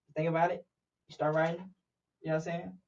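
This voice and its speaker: A man's voice: on the high side, level tone, fast, vocal fry, a little mellow, recorded from a distance, highs cut off. A man who raps, talking about recording in a laid-back casual way, often saying "you know what I'm saying.". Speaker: guest rapper. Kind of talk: casual chat.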